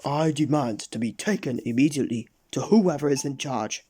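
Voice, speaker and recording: A man's voice: mid-range voice, a little fast, a little mellow, slightly rough, close to an everyday voice. A man voicing a rude, pompous, self-important grump with a snappish, indignant manner, in a children's storybook. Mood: indignant, demanding.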